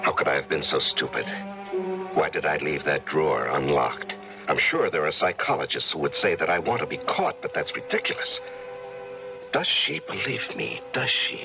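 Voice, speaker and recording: An actor playing a patronizing, coaxing middle-aged judge who hides murderous urges, in old-time radio drama style. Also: male voice, very deep voice, animated, normal speed, creaky, over music, heavily acted. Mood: brooding, calculating.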